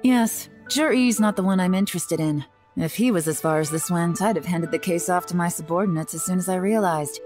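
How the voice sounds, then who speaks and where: Woman acting shrewd young female spy, low voice, cool and measured, video game dialogue, soft music behind. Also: natural voice. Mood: composed, sly. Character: shrewd, composed young woman intelligence agent.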